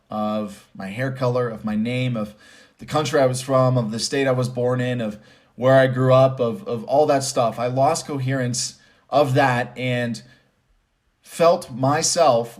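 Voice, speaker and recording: Emphatic, conversational man giving motivational commentary. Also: mid-range voice. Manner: conversational, emphatic, repeats phrases for stress. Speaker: host and commentator. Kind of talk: motivational spoken commentary with personal storytelling.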